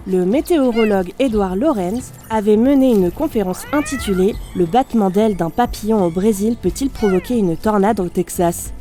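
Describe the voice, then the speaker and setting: A woman playing a damaged robot unit in audio fiction, speaking formally and precisely, polite, analytical and gently naive. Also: mid-range voice, lightly acted. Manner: formal, clipped system announcements and precise explanations. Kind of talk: scripted audio fiction monologue.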